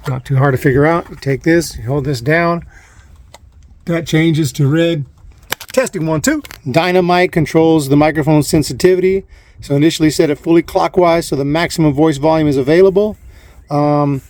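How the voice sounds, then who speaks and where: A man giving a casual hands-on demonstration, talking in a halting way as he thinks aloud, recorded on a phone inside a van. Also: fairly high pitch, a little mellow. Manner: halting, thinking aloud while handling equipment. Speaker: vlogger, amateur DIY installer. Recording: clear background noise, radio static and clicks. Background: CB radio static and knob clicks.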